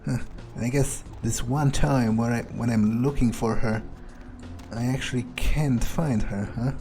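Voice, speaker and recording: Mellow, unhurried man playing in character. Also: mid-range voice, fairly even intonation, soft background music. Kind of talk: in-character video game playthrough commentary.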